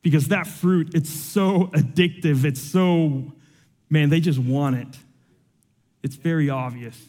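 Young man preacher, earnest, emotional, conversational; slightly higher voice. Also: slow, darkish tone, long reverb tail. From Cuba. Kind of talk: sermon with personal testimony.

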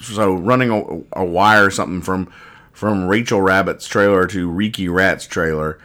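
A man's voice: deep voice, normal speed, fairly bright tone. A middle-aged man hosting a comedy podcast in casual banter, with a wry, mock-exasperated manner and a habit of repeating his phrases. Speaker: podcast host. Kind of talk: casual comic banter, describing newspaper comics.

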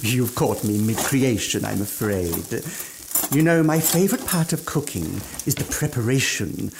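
Theatrical, effusive British gourmand, leisurely and animated. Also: male voice, medium pitch, unhurried, creaky, noisy background. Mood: effusive hospitality. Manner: effusive, theatrical, old-fashioned gentlemanly phrasing. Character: genial, boastful gourmand chef, former mountaineer, now legless. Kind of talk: old radio play acting.

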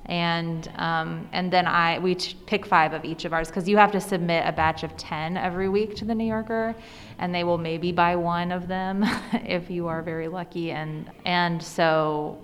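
A woman's voice: fairly low pitch, fairly even intonation, normal speed. A woman speaking as an interview guest, chatting informally with frequent "like" and enthusiastic emphasis. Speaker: interview guest, author and cartoonist. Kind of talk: casual conversational interview. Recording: reverberant room with clear background noise.

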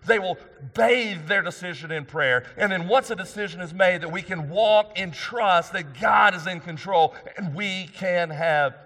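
Loud preaching pastor in a reverberant hall. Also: male voice, a little high. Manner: loud emphatic preaching, rising for key points. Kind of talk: sermon. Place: church sanctuary.

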